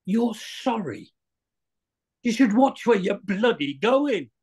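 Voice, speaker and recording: A man's voice: sits high, big pitch swings, slow, a little mellow, husky, heavily acted. A man on a video call reading the part of an arrogant, entitled rich young man who sneers at everyone. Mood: sneering.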